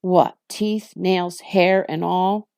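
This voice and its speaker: A woman's voice: fairly low pitch, steady tone, drawn-out words, vocal fry, mellow, clean tone, no echo, studio quality, exaggerated voice. A woman voicing a sly, gloomy male orc captain from a fantasy storybook, needling and mocking as she speaks. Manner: sardonic, needling.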